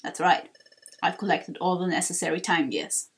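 A woman's voice: on the low side, normal speed, voice pushed down, natural voice. A woman voicing a cool, serious male gecko creature in a video game, terse and matter-of-fact. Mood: calm, matter-of-fact.

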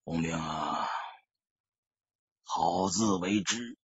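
A grave old father, acted by a male narrator, speaking low and very hoarsely. He is weary and sparing with words in this audiobook tale, slow and subdued. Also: no room sound, lo-fi sound, exaggerated voice.

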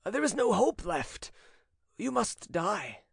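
A man's voice: sits high, sing-song, slow, creaky, breathy edge, dry recording, clean studio sound, pitched above the performer's own voice, exaggerated voice. A man voicing an anguished, tender old fisherman in his eighties in a dramatic audiobook, pleading and mournful. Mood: despairing. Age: 80s. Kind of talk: dramatic audiobook character reading.

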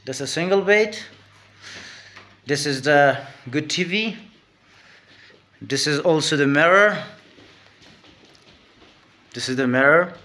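Higher-pitched young Afghan man vlogging. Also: slow pace, long pauses, pushed and bright, in a large hall. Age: 20s. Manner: short, repetitive descriptive phrases. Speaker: tour guide and vlogger. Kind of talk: casual vlog narration to camera. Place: indoors in hotel halls, rooms and dining room. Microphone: phone at arm's length.

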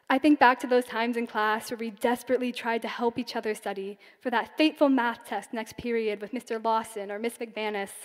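Young valedictorian, earnest and measured, podium mic in a big reverberant hall. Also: female voice, fairly high pitch. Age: young adult. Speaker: class valedictorian. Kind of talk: commencement speech. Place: large concert hall stage. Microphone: podium microphone.

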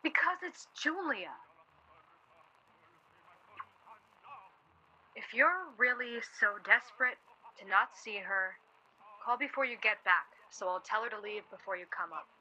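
A woman's voice: a little high, expressive, normal speed, pushed and bright, muffled recording, a little hiss or hum. An actress playing an insistent, concerned woman in a naturalistic radio drama, pleading and exasperated. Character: insistent, concerned woman persuading a stubborn man.

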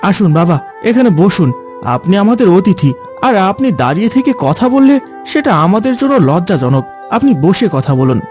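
A man's voice: high-pitched, sing-song, a little fast, darkish tone, faint music behind. A man voicing a mild, earnest son and husband in a cartoon, politely protesting. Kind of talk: cartoon voice acting.

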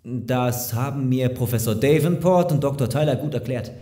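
A man playing a slick, overeager lawyer in an audio drama, flattering and evasive, with hesitant persuasion. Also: medium pitch, moderate pace, lightly acted. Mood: explaining. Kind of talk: German audio drama acting. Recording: roomy, echoing sound.

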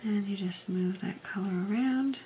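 A woman hosting a craft tutorial, talking through each step in a soft, unhurried way, often dropping to a half whisper, her voice a little hoarse from allergies. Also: medium pitch, slight background noise. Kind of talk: casual step-by-step demonstration.

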